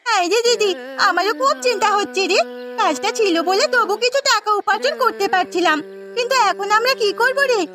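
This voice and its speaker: Woman voicing a very high, eager little village girl. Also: brisk, a crowd in the background. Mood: worried. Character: small younger sister, eager, outspoken village girl. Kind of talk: Bengali cartoon story voice acting.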